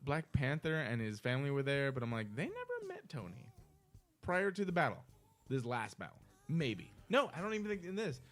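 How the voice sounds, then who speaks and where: A man's voice: medium pitch, wildly swooping pitch, moderate pace, a bit bright. A man co-hosting a podcast, talking casually about movies in an emphatic, sweary way and often repeating himself. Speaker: podcast co-host.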